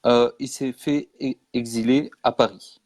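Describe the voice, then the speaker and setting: A man's voice: medium pitch, steady tone, measured pace, a little mellow, dry recording. A man from Belgium, a guest in a casual interview, explaining history earnestly and insisting on points with repetition. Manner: earnest, insistent, repeats for emphasis.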